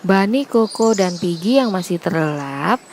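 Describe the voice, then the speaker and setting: A woman's voice: medium pitch, expressive, measured pace, a little mellow, a little hiss or hum. A woman narrating a scripted children's fable as a storyteller.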